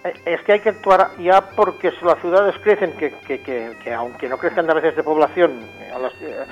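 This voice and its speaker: A man answers as a radio guest in a fairly high voice. He explains haltingly, repeating words as he searches for the next one, with faint music behind him. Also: lo-fi sound. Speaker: interview guest, agricultural engineer. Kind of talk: spontaneous interview answers. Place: remote call into a radio programme.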